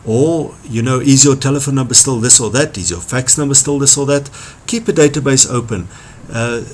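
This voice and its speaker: A man's voice: mid-range voice, slight background noise. A man presenting an instructional talk as a trainer, speaking emphatically and repeating key phrases for stress. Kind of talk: instructional talk on workplace skills.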